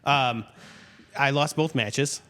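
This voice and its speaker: A man's voice: mid-range voice, slow, bright, forceful tone, in a large hall. A man in his forties, a podcast guest, speaking earnestly and reflectively in casual chat, with a touch of self-deprecation. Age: 40s.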